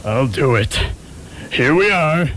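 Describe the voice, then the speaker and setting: A man's voice: medium pitch, animated, slow pace, fairly bright tone, a little hiss or hum. A man playing a whiny, spiteful, easily cowed junior police officer in an amateur comedy radio drama. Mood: reluctant, cowed.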